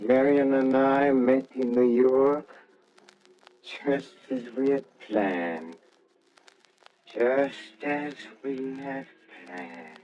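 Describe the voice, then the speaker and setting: A man's voice: mid-range voice, drawn-out words, dark tone, muffled recording, soft background music. A man playing a nervous, secretive professor who puts on joviality, speaking hesitantly in old-time radio drama style. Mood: brooding recollection. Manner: hesitant, stammering. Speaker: lead actor and narrator.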